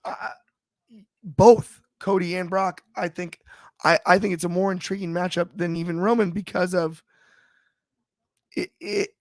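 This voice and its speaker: A man with a slightly raspy voice, chatting about wrestling on a panel show in a thoughtful, halting way with many restarts. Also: sits high, fairly even intonation, dry recording. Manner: thoughtful, frequent restarts and fillers. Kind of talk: casual discussion.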